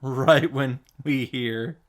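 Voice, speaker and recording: A man's voice: medium pitch, extremely slow. A man recapping a visual novel as commentary, with sarcastic, sweary, exclamatory asides. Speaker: gaming YouTuber. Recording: music playing behind the voice.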